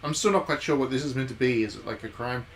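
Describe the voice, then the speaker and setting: A man's voice: medium pitch, quick pace. A man giving casual, chatty commentary as he plays through a game on a livestream, musing aloud as he goes. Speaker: game streamer. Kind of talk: casual live visual novel playthrough commentary. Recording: steady background noise.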